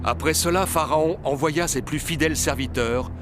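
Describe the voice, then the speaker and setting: A man's voice: on the high side, moderate pace, noisy background. A man giving scripted narration of a story as narrator. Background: music, outdoor ambience effects.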